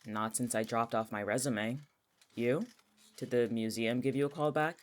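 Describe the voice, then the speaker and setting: A man's voice: mid-range voice, steady tone, normal speed, lightly acted. A young man acting in an audio drama as a cynical, anxious, jobless atheist, with a sardonic, argumentative way of talking. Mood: sardonic, gloomy. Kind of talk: naturalistic audio fiction dialogue.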